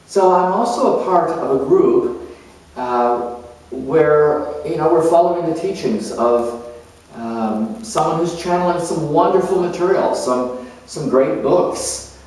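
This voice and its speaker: A man playing an earnest, nurturing parent turned spiritual counselor in a dramatic monologue, speaking in halting, reflective phrases. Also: mid-range voice, a little mellow, close to an everyday voice. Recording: very echoey room, distant microphone.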